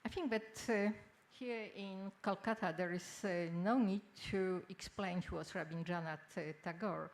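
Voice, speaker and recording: An older woman from Poland with a Polish accent gives a formal conference lecture in halting, hesitant phrases. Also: fairly low pitch. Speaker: academic speaker, textile researcher. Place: indoor symposium venue. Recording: noisy live symposium room recording.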